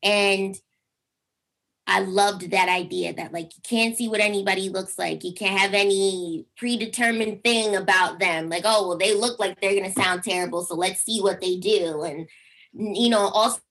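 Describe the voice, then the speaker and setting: Young woman, squeaky voice, animated storyteller, video call chat. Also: moderate pace, poor audio. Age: young adult. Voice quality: squeaky speaking voice. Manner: animated storytelling, acts out quotes with big pitch jumps. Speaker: singer guest. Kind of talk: casual interview chat.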